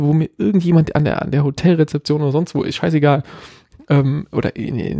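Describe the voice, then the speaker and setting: A middle-aged man with a light Berlin accent and a dark, gravelly voice. He co-hosts a casual podcast, rambling and mocking himself as he tells stories. The sound is dry and close. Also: a little high, no room sound. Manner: rambling, self-mocking storytelling. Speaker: podcast co-host.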